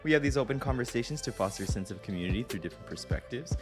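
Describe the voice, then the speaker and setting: A man's voice: mid-range voice, very fast, over music. A young man co-hosting a podcast, chatting casually and playfully with frequent laughter. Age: young adult. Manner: playful, laughs often, animated. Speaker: podcast co-host. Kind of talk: casual chat.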